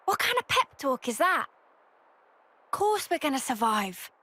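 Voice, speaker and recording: An actress playing a spirited, outspoken young woman soldier in a video game cutscene, expressive and informal. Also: high-pitched, a little slow, heavily acted. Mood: incredulous.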